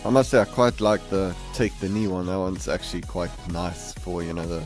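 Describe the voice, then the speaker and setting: Low-voiced man rambling through game commentary. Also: a little mellow, faint music behind. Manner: rambling, halting, self-correcting. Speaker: gaming video host. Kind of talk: casual game showcase commentary. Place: voice-over on game capture.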